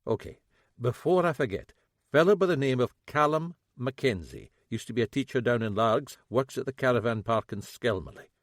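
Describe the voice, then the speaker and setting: A man's voice: medium pitch, lively intonation, normal speed, no room sound, natural voice. A man voicing a shrewd, dry-witted Scottish police chief with a Scottish accent. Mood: brisk. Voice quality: wry, commanding.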